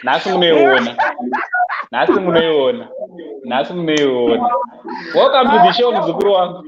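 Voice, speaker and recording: A high-voiced man in his thirties hosts a birthday livestream from his couch, playful and quick to tease. His earphone mic picks up a roomy echo and dull, lo-fi call audio. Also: very animated, a loud crowd. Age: 30s. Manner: playful, teasing, laughs often. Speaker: livestream host. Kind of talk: casual birthday livestream chat. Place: indoors at home, seated on a couch. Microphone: earphone headset mic on a video call.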